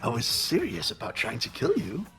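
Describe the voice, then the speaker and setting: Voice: mid-range voice, wildly swooping pitch, moderate pace, a bit bright, faint music behind. A performer voicing a gleefully sadistic robot teddy-bear headmaster in a fan game dub, theatrical and mock-polite. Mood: gleeful menace. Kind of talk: fan voice acting of a video game.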